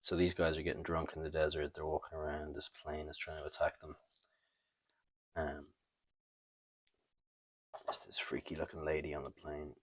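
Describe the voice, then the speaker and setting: A man hosting a comic book review in a casual, unscripted way, thinking aloud with halting, trailing pauses. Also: extremely low pitch, flat delivery, slightly rough, no room sound. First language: not French. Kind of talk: casual unscripted show-and-tell commentary.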